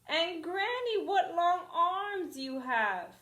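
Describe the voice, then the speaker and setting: Casting: woman as innocent little girl in a storybook, high-pitched, polite and timid, slow delivery. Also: big pitch swings, a bit bright, echoey room, full character voice. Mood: wondering. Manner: polite, wide-eyed. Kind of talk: storybook read-aloud character voice.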